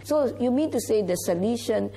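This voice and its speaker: A woman's voice: fairly high pitch, lively intonation, moderate pace, gravelly, mellow, low-quality recording. A woman hosting an interview, asking questions and prompting her guest. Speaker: interview host.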